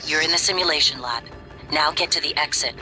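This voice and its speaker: Man voicing a high-pitched, measured machine guide. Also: over loud music, close to an everyday voice, low-quality recording. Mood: calm, cautioning. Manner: measured, terse, instructive. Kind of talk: game voice acting.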